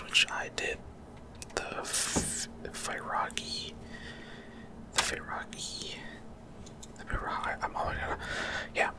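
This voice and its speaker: Whispering man, casual ASMR gamer. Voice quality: breathy unvoiced whisper. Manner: casual, rambling, hesitant. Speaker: gaming ASMR creator. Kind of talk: whispered ASMR gameplay commentary.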